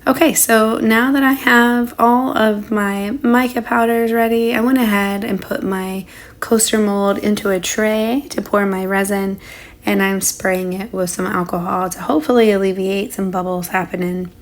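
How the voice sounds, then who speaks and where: A woman's voice: medium pitch, fairly even intonation, normal speed. Woman crafter, voice recovering from illness. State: under the weather, recovering lost voice. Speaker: craft channel host. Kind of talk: casual craft tutorial narration.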